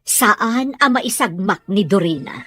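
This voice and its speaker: A woman's voice: mid-range voice, animated, a little slow, sharp tone, faint music behind, voice pushed down. A woman with an Ilocano accent voicing a weary, stern mother in a comedy-drama podcast. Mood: exasperated. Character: weary, stern mother exasperated by her daughter.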